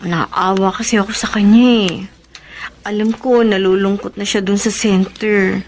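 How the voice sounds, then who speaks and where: An actress playing a soft-hearted young wife in a Filipino radio drama, gently pleading. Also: female voice, medium pitch, fairly even intonation, unhurried, slight background noise, close to an everyday voice. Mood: pleading, sympathetic.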